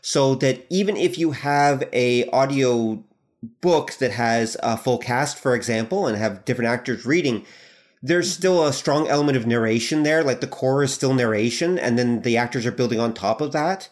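Guy guest on a podcast, calm, explains stuff like a teacher would. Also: mid-range voice. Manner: measured, explanatory. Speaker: podcast guest, audio drama writer and teacher. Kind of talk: interview answers.

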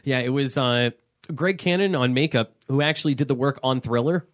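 A man's voice: mid-range voice, fairly quick. A man co-hosting a podcast, recapping a film in loose casual chat, rambling with fillers and laughs. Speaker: podcast co-host.

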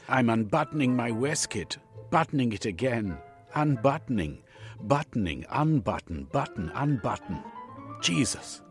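Man acting an anxious, overwrought storybook gentleman, swooping pitch. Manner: dramatic, exclamatory, with trailing 'eh?'. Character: Velvin, anxious, overwrought gentleman confessing his dreams. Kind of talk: audiobook character acting.

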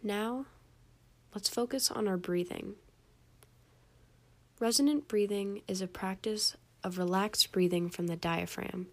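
A young adult woman reading a scripted guided meditation in a calm, gentle, measured way, with soft phrase endings. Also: mid-range voice.

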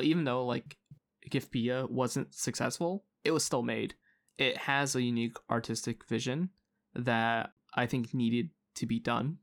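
A man's voice: mid-range voice, vocal fry. A man co-hosting a podcast in casual chat, hesitant with many fillers and restarts. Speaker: podcast co-host.

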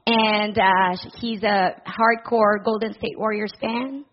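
A middle-aged woman from the Philippines, a charity director speaking earnestly to a church congregation, with soft fillers and a warm, personal manner. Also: mid-range voice, fairly even intonation, slow, lo-fi sound. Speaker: director of a family services charity.

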